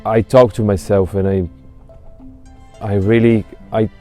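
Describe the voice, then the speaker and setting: A man in his thirties whose first language is Portuguese, a music teacher answering interview questions slowly, with many restarts. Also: on the low side, level tone, warm tone. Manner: slow, halting, searching for words. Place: outdoors in autumn woods.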